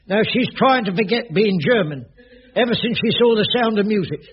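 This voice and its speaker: A flustered, stammering caretaker. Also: male voice, high voice, expressive, fairly quick, very noisy. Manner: stammering, flustered, full of hesitations. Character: flustered fussy middle-aged caretaker. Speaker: sitcom character. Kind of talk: 1980s studio radio sitcom acting. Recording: dull old radio recording.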